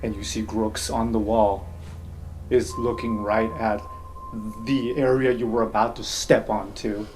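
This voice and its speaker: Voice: medium pitch, level tone, a little slow, a little mellow. A game master casually narrating an improvised tabletop fantasy game, telling players what their characters see. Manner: casual, second-person storytelling. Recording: roomy sound with music behind.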